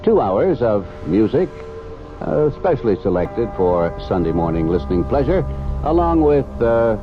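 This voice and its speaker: A man's voice: fairly low pitch, sing-song, unhurried. A man reading a folksy, chatty promo for his weekend radio show. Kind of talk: station promo announcement. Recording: dull vintage AM radio recording with music.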